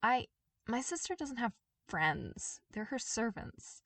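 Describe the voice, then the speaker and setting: An anxious, wounded teenage boy in a storybook tale, acted out by a woman. He speaks hesitantly and apologetically, pitched fairly high. The recording is dry and slightly distant. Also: sing-song, takes it slowly, a bit bright, no room sound, exaggerated voice. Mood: guarded, wistful. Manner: nervous, hesitant.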